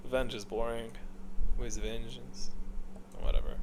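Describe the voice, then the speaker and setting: A man's voice: mid-range voice, flat delivery, measured pace, noisy background. A man giving casual, unscripted video commentary in a hesitant, rambling, stop-start way. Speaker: video host ranking game voice lines.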